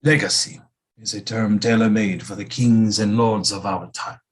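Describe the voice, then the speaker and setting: An actor playing a flattering, theatrical male seer and physician to a queen in an audio play, speaking in a grand, courtly, dramatic manner. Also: lowish voice, moderate pace, close to an everyday voice. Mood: grave, courtly.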